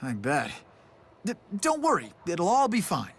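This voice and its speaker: A man's voice: high voice, huge pitch swings, a little slow, vocal fry, a bit bright, breathy edge, heavily acted. A man playing a mild, awkward young man in a video game, speaking hesitantly and apologetically. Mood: awkward reassurance.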